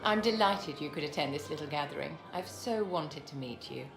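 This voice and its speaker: A woman's voice: low voice, expressive, moderate pace, gravelly, reverberant, music in the background. A woman playing an imperious aristocratic enchantress in a fantasy game, poised, genteel and condescending. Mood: gracious. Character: imperious, silky aristocratic female court enchantress.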